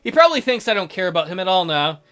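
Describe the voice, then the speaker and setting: A man with a bright voice and lively, varied pitch reads visual novel narration aloud. He is a let's play host who drops in casual remarks, with soft music playing behind him. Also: rapid-fire, clear, resonant tone.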